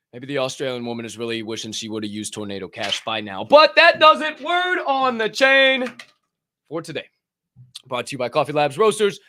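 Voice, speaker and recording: A high, forceful male host with a voice that sounds a little worn and hoarse. He talks fast and loose, swears freely and builds up his guest like an announcer. Recorded close on a studio microphone. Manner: energetic, profane, big hype-man announcer intros. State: hoarse after a long weekend. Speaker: podcast host. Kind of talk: hyped casual show hosting.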